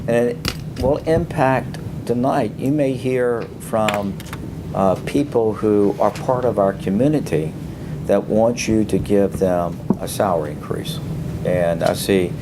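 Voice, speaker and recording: Low-voiced man briefing a council. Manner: measured, explanatory, with frequent fillers. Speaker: city official presenting budget. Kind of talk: council work session briefing. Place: city council chamber. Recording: noisy council chamber audio.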